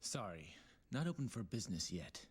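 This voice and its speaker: An actor playing a cocky young demon hunter in a video game cutscene, nonchalant and wisecracking. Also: male voice, on the low side, sing-song, moderate pace, slight background noise. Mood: flippant. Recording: quiet game audio under music. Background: music.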